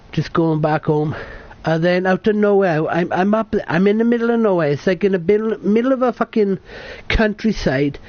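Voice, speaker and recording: A British man in his late thirties from the UK, telling a personal story to camera in a rambling, sweary, self-correcting way. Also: high-pitched. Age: 30s. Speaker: vlogger. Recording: dull lo-fi sound.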